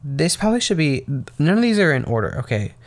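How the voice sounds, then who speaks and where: Gravelly-voiced young man rambling casually. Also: a little high, big pitch swings, quick pace. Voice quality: slightly raspy, gravelly. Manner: rambling, meandering talk full of "um" and "like". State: tired from waking very early. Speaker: podcast host. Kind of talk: casual solo podcast monologue.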